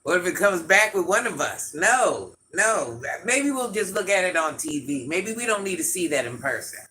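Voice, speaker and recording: A man with an itchy, scratchy throat, hosting a livestream in a grandmother persona and chatting through viewer comments with quick laughs and asides. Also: high-pitched, big pitch swings, pushed and bright. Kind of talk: casual live chat answering viewer comments.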